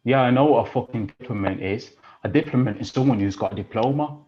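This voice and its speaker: An actor playing a rough, foul-mouthed lad who mocks and threatens, in an audio drama with a slightly dull sound. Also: male voice, mid-range voice, fast, natural voice. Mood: sneering. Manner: swearing, menacing, mocking. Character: rough working-class British boy, aggressive, crude, defensive. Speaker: actor in a stage play.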